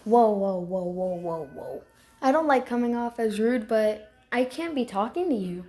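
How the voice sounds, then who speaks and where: A woman voicing a timid, excitable pampered house cat for animated character lines, with a hesitant, stammering, dramatic delivery. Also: on the high side, moderate pace, natural voice. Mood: flustered.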